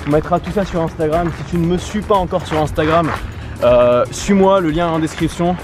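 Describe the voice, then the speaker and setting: A man with a Parisian accent talks to his camera in a slightly high voice. He is casual and tongue-in-cheek, like a vlog host out at night. Music plays clearly behind him. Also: fairly quick. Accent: Parisian French. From France, Paris. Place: outdoors in a city park at night. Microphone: compact camera held by the speaker.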